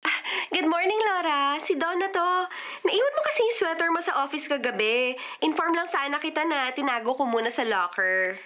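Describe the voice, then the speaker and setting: A woman's voice: high-pitched, lively intonation, normal speed, bright, forceful tone. An actress with a Filipino accent playing a wronged, sly ghost woman in a radio drama. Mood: friendly. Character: wronged dead woman haunting her impostor, sly, insistent.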